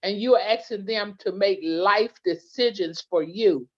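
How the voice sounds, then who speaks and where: A woman's voice: medium pitch, a little slow, a little mellow, breathy edge. A woman, a guest in an interview, telling earnest stories with many restarts. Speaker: guest, legal plan representative. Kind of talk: interview conversation. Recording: dull lo-fi sound.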